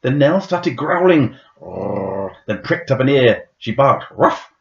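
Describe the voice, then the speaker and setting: A man reading a children's rhyming picture book aloud in a dramatic, playful, expressive way. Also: medium pitch, measured pace, highs cut off. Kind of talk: expressive rhyming storybook narration.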